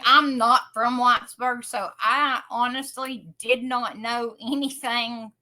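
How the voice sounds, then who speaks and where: A woman's voice: a little high, sharp tone, rough recording. A woman with an American accent, chatting casually as a podcast co-host. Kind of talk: casual chat.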